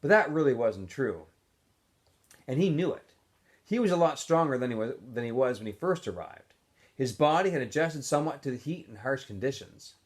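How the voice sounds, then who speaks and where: Male storyteller, mid-range voice, really lively pitch, reading a novel to students. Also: moderate pace. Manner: animated, acts out dialogue in shifting voices. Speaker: teacher reading a book aloud to students. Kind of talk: expressive storybook narration.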